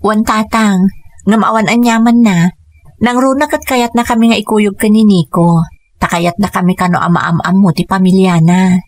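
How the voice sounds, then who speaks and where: A woman playing a gentle mother, dry close sound. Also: medium pitch, normal speed, no echo, close to an everyday voice. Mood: earnest. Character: Marites, a gentle loving mother. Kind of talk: radio drama acting.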